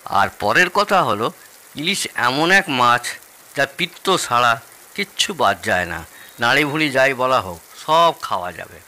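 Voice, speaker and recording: Man playing a bright-voiced, boastful East Bengali father-in-law. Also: mid-range voice, very animated, a little slow, heavily acted. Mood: assured. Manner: theatrical, savouring, bragging. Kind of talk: audio drama acting.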